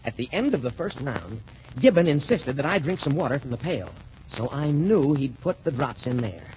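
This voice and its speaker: Male actor as young American cadet hero for 1940s radio serial: clean-cut, modest, eager, animated, muffled old-broadcast sound. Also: mid-range voice, moderate pace, slight background noise, lightly acted. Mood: earnest explaining. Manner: boyish, polite, eager. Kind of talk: 1940s radio drama acting.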